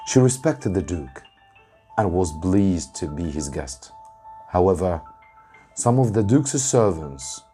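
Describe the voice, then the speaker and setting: A man narrates a story for English learners. His voice sits deep and he takes his time, pausing between short phrases. Faint music plays behind him. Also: a little mellow. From Egypt. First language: Arabic. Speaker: English teacher reading aloud. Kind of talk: narrated storybook reading for students.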